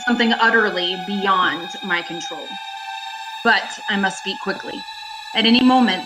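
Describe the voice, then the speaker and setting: A woman acting a haunted traveller in her thirties in an amateur radio thriller, urgent and halting, repeating phrases for emphasis. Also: medium pitch, close to an everyday voice, poor audio. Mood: tense, desperate. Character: haunted 36-year-old woman traveller, shaken but insisting she's sane. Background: music and rain.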